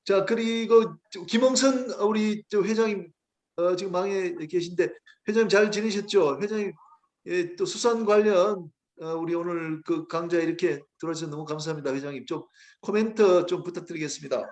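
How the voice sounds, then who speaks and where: High-voiced polite male moderator. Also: moderate pace. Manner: polite and encouraging, with many "예". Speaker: host and professor. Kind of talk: moderating an online discussion.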